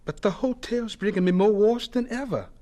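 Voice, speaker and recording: An actor playing an earnest, polite young American student in a radio drama, deferential and hesitant yet insistent. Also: male voice, sits high, moderate pace, background noise, lightly acted. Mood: uneasy, protesting. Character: earnest, polite, determined young male student running laundry.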